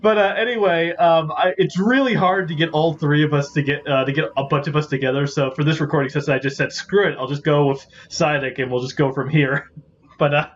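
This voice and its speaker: A man's voice: fairly high pitch, steady tone, brisk. A man giving casual, rambling gameplay commentary as host of a gaming series. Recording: dull lo-fi mic, faint music behind.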